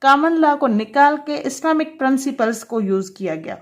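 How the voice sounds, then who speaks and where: A woman's voice: high voice, animated, moderate pace. A woman giving an explanatory law lecture, mixing in English terms and often restating her points. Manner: repeats and rephrases points, checking in with the listener.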